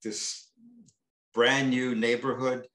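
A man speaking as an artist on a panel, talking in a halting way with many fillers and restarts. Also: medium pitch, flat delivery, fairly bright tone, echoey room. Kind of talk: panel discussion. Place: gallery talk room.

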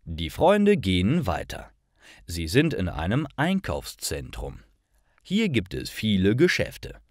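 Male storyteller for language learners: deliberate, highly animated intonation, dry close recording. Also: no room sound. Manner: deliberate, carefully phrased. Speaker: narrator of stories for language learners.

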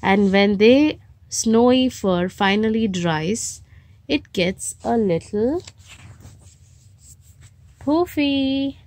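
A woman's voice: on the high side, expressive, drawn-out words, pauses often, a little mellow, dry recording. A woman reading a picture book aloud to young children at home, putting questions to them as she goes. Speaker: storybook reader and host of children's story time. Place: home bedroom, indoors.

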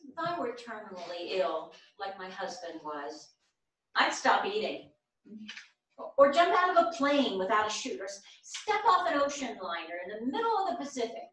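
A woman's voice: medium pitch, very animated, moderate pace, a bit bright. A woman acting an outspoken, wry older mother in a staged theatre reading. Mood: darkly wry. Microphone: distant camera. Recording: echoey theatre, recorded from far off.

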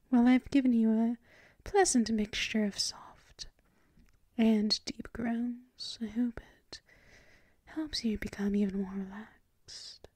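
A woman plays a gentle, dominant girlfriend in an intimate ASMR roleplay. Her voice is fairly high and mellow, moving very slowly between soft murmurs and whispers. She is soothing, affectionate and teasing, close on the microphone. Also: steady tone, dry recording.

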